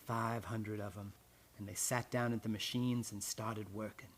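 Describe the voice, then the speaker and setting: An actor playing a grim, blunt old island retainer in a radio play, with rustic, archaic phrasing full of ayes. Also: male voice, fairly low pitch, level tone, normal speed, lightly acted. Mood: bitter, grave.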